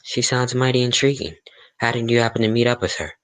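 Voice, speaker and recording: A man's voice: mid-range voice, quick pace, lightly acted. A man playing a courteous radio announcer and host in an old-time radio drama, speaking in a polite, scripted promotional style. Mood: curious, friendly. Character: courteous, eager radio announcer and visiting interviewer.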